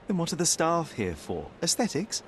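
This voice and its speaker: An actor playing a young, logical detective in a video game, dry, precise and dismissive. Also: male voice, fairly high pitch, a little hiss or hum, exaggerated voice. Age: young adult. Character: young Sherlock Holmes, cool, logical, dry. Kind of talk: video game cutscene voice acting.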